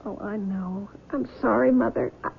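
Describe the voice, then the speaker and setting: An actress playing a grieving, guilt-ridden and stubborn young daughter, with emotional, dramatic radio drama delivery. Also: female voice, medium pitch, normal speed, creaky, slight background noise. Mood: guilty, resolute. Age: young adult. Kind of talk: old-time radio drama acting. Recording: tinny, narrow phone-like radio recording.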